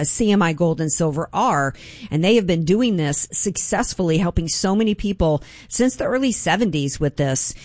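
A woman hosting a morning talk radio show, conversational with fillers, shifting into a bright sales pitch for sponsors. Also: no room sound, clean studio sound. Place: radio studio. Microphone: studio mic.

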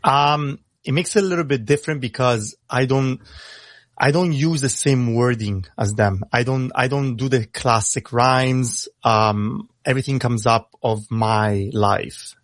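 A young man who grew up in Italy, with Italian as his first language, talking casually over a video call in a halting way with many fillers. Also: medium pitch, no room sound. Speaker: rapper guest.

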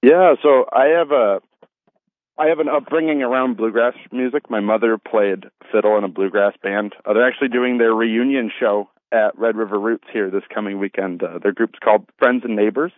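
A man's voice: on the low side, normal speed, no room sound. A man speaking over the telephone as an interview guest, earnest and polite in a conversational style. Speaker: phone-in guest, festival organiser. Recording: thin, narrow phone line.

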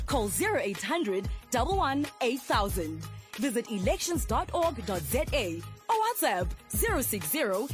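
A woman reads a radio ad in an upbeat, urging tone. Her voice is high and very lively. Light music plays behind her. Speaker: announcer. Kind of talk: radio ad read.

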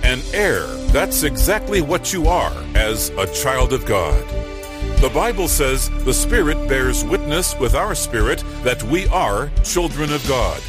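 Lively male radio devotional host. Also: mid-range voice, unhurried. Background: music bed.

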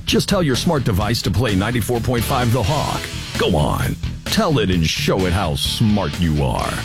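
A deep-voiced American man reads station promos as a radio announcer. His delivery swings up and down in pitch, over prominent music. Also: big pitch swings, normal speed.

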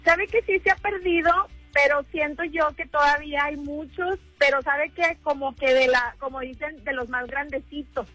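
A woman's voice: sits high, steady tone, normal speed, few pauses, bright, forceful tone, soft background music, rough recording. A woman in her forties with a young-sounding voice and a Mexican accent, chatting casually and hesitantly as a radio caller. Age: 40s. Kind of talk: casual chat.

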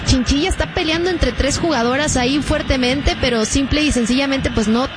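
A woman's voice: sits high, a little fast, noisy background. A woman doing live play-by-play football commentary, chatting with a co-commentator between plays. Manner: conversational, many fillers, reads sponsor spots.

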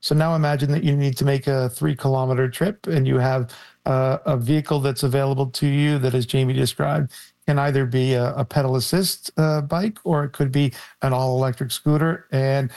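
A man speaks as an expert guest. His voice is mid-pitched with plenty of creak, and he lays out his points in a measured, step-by-step way. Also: fairly even intonation, a little mellow. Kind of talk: explanatory interview answer.

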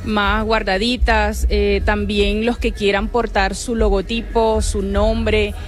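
Female artisan guest, Arhuaco native speaker, measured delivery with fillers, faint music. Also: mid-range voice, flat delivery. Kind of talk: radio interview.

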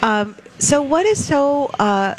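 A woman's voice: high voice, very animated, slow, slight background noise, poor audio. A woman interviewing a guest in a conversational way, often voicing encouragement and agreement. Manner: warm affirming, frequent encouraging backchannels.

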